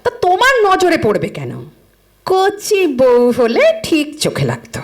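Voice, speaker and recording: Audio drama: sarcastic, scolding middle-aged wife, high and extremely swooping in pitch. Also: female voice, wildly swooping pitch, measured pace, exaggerated voice. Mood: reproachful. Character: nagging, exasperated middle-aged Bengali wife and mother.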